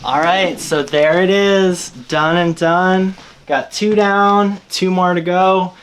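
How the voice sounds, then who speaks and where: A man's voice: sits high, steady tone, slow pace, a bit bright, slight background noise. A man giving a casual, easygoing hands-on tutorial as the host of a DIY build video. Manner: informal, easygoing.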